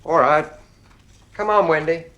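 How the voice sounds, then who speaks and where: A man plays a defiant boy in a radio-style drama. The voice sits on the high side, clipped and stubborn. There is a sullen, quietly threatening edge toward his father. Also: measured pace. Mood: flatly obedient. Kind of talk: audio drama acting.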